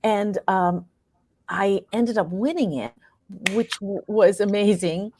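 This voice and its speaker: A woman's voice: mid-range voice, slow, darkish tone, a little hiss or hum. A woman answering interview questions as a playwright and performer, conversational and reflective, often searching for words.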